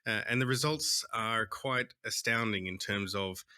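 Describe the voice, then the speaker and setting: An Australian man speaks as an interview guest in a bright, forward voice that sits a little low. The sound is dry and close, with no room around it. He talks in a hesitant way, with frequent restarts and fillers. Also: measured pace, clean tone, dry recording. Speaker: guest, tech founder. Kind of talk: conversational interview.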